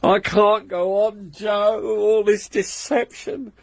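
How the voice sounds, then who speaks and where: An actor playing a nervous, anxious householder who hesitates and stammers, with a gravelly, husky voice. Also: male voice, high-pitched, level tone, takes it slowly, no room sound, exaggerated voice. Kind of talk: radio drama acting.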